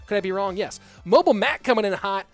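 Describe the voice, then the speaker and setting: A man casually casting an esports stream, reading viewer chat aloud and answering in a relaxed, rambling way. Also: high-pitched, fast talker, faint music behind.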